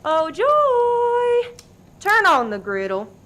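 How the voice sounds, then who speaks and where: A woman's voice: very high voice, huge pitch swings, drawn-out words, a bit bright, heavily acted. A woman acting as a bossy, threatening interrogator in a comedic radio play, barking orders in a commanding way. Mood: stern.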